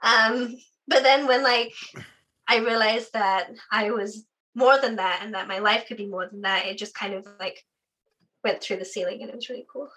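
A young adult guest from the UK, talking casually and chattily in an interview, with lots of "like" fillers and a sore voice. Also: female voice, fairly high pitch, steady tone, moderate pace, bright, forceful tone, low-quality recording. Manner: chatty, frequent "like" fillers, laughs often.

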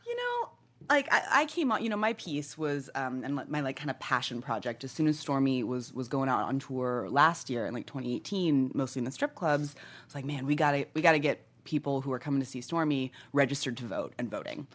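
A woman co-hosting a live-streamed casual chat, animated and often laughing, with many fillers. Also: extremely low pitch, brisk. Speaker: co-host. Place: livestream.

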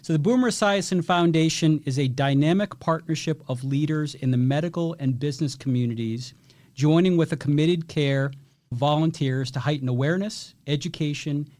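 A man's voice: a little high, a little mellow. A man hosting an interview podcast who asks questions and reads a sponsor message in a polished, enthusiastic, formal way. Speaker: podcast host.

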